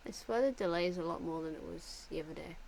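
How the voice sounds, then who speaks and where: A woman streamer giving chatty, giggly live commentary into a desk mic on a boom arm at home. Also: fast, vocal fry, a little mellow, a little hiss or hum. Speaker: game streamer.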